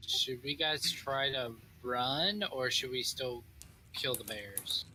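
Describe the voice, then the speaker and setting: A man's voice: medium pitch, takes it slowly, bright, forceful tone, a little hiss or hum, poor audio. A man chatting casually as a player in a tabletop role-playing game.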